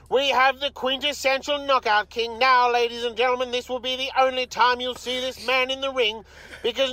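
A woman giving a hyped, theatrical fight announcement, excited and sweary. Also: on the high side, dry recording.

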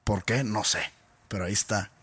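A man's voice: on the low side, fairly even intonation, moderate pace, a bit bright, slightly rough, no room sound. A man with a Mexican accent co-hosting a casual podcast, telling rambling stories and joking. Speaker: podcast co-host. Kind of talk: casual chat.

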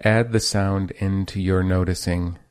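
A man leading a guided meditation as a teacher, speaking in a calm, measured and gently instructive way. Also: low voice, clear, resonant tone.

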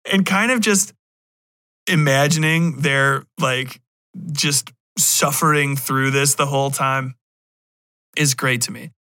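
A man's voice: medium pitch, slow pace, long pauses, no echo, studio quality. A man hosting a podcast, talking in a casual, rambling way with blunt, irreverent swearing and joking asides. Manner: animated joking asides, acting out imagined remarks. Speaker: podcast host. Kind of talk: casual rambling review talk.

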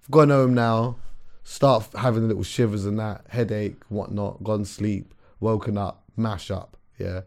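Young man, hoarse voice, animated, slangy, podcast host. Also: fairly low pitch, measured pace. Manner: animated storyteller, slangy, calls everyone bro. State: hoarse, recovering from illness. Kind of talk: casual chat.

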